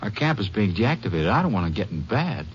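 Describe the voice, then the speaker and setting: Stammering anxious soldier; animated pitch, dull old radio sound. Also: male voice, a little fast. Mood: nervous. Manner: stammering, nervous, defensive. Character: nervous young male soldier, evasive and anxious. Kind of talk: 1950s radio drama acting. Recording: muffled old radio broadcast with faint hiss.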